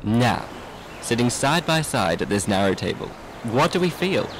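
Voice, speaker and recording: A man's voice: mid-range voice, sing-song, moderate pace, noisy background, natural voice. An actor playing an intense, slightly drunk middle-aged man in a literary radio drama, probing and rhetorical. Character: tipsy, intense middle-aged man, needling old friends.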